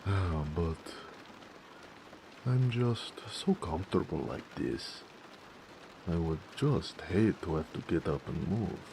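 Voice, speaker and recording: A man voicing a gentle, bashful orc blacksmith who speaks hesitantly and affectionately in an intimate romance audio roleplay. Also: low voice, animated, vocal fry, background noise, full character voice. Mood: cozy, tender. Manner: soft-hearted, hesitant, with pauses and hedging.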